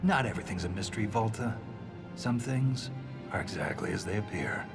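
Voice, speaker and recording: A man playing a pragmatic, skeptical dwarf soldier in a video game, talking in a blunt, wry, gruff way. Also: fairly low pitch, brisk, natural voice. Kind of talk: video game cutscene dialogue. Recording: game music behind the voice. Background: music.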